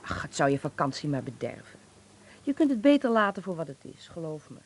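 An actress playing a sensible, sceptical but affectionate holidaymaker in a Dutch radio drama, speaking conversationally. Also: female voice, low-pitched, sing-song, brisk, heavily acted.

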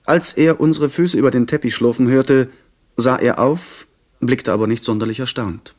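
A man performs a young gentleman at sea, polite and sincere. His voice sits at an average male pitch. The delivery is articulate, literary radio drama acting of the 1970s. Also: normal speed, lightly acted. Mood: measured, narrating. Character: earnest young gentleman adventurer, narrating his story. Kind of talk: 1970s German radio drama, dialogue and narration.

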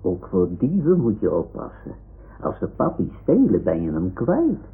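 An actor playing a boastful, childlike toy sea lion who tells things in a halting, self-important way in an old radio play. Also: male voice, on the low side, huge pitch swings, slightly rough, full character voice. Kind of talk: 1960s-70s Dutch radio play acting. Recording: dull, muffled old radio recording.

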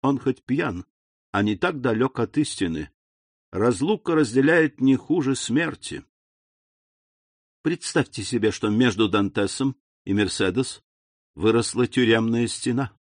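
A man's voice: mid-range voice, animated, no echo, studio quality. Man doing a sly, scornful, insinuating schemer. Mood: scheming. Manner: insinuating, mocking, deliberate. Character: sly, calculating, scornful schemer with false friendliness.

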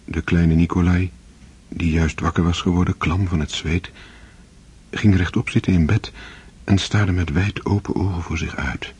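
An actor playing a solemn, measured literary narrator in a radio drama, with a husky voice. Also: male voice, fairly even intonation, darkish tone, full character voice. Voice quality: deep, breathy.

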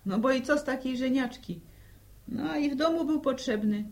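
A woman's voice: sits high, moderate pace, a little mellow. An actress playing an anxious older village widow and protective mother in a radio drama, fretful and sighing. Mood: defensive.

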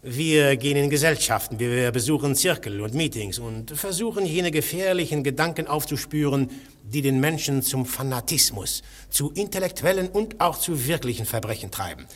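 An actor playing a calm, wry undercover detective in a radio drama. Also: male voice, medium pitch, moderate pace, close to an everyday voice. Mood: explaining.

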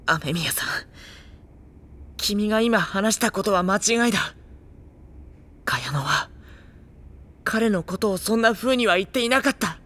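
High, youthful, earnest schoolboy. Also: male voice, expressive, measured pace, long pauses, bright, forceful tone, full character voice. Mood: pained, earnest. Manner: earnest, introspective. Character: sensitive, anxious high-school boy, narrator and hero. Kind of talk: dramatic first-person narration and dialogue. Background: music.

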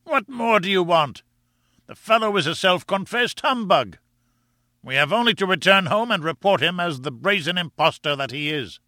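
Man acting a scornful, sharp-voiced old professor. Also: high-pitched, expressive, normal speed, no echo, studio quality, voice pushed up. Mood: derisive. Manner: scornful, formal, indignant. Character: acid, bitter, sceptical elderly male professor.

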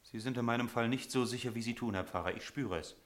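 A man's voice: mid-range voice, fast, close to an everyday voice. An actor playing a haunted smuggler in a German radio drama, earnest and insistent in his speech. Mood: challenging, earnest. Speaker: character in a radio play.

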